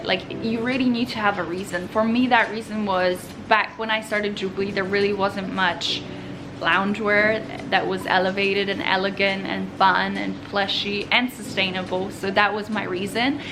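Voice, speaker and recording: A woman's voice: medium pitch, fairly even intonation, moderate pace, noisy background. A Polish-born woman whose first language is Polish, a vlogger and clothing brand founder answering viewer questions in an earnest, upbeat way. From Poland. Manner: earnest, upbeat advice-giving. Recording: voice over background music.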